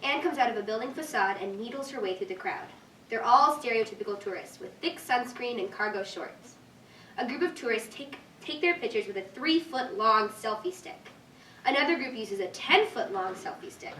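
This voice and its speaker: A woman's voice: on the high side, animated, normal speed, pushed and bright. A woman reading scripted stage directions as narrator at a live staged reading. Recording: distant echoey room, faint noise.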